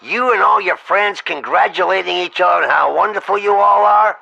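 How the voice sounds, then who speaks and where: Man playing a high-pitched, exasperated talent agent. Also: moderate pace, creaky, pushed and bright, dull audio, full character voice. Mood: sarcastic scolding. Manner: blunt, scolding, sarcastic, talking over the other. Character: exasperated, foul-mouthed male talent agent scolding his client. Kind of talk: comedic mock phone-call sketch.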